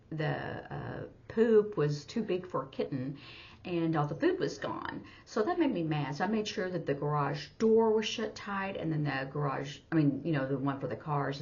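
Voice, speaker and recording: Low-voiced woman telling a story casually. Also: moderate pace, darkish tone. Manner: rambling, conversational. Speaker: sign language teacher. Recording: slightly dull, lo-fi audio.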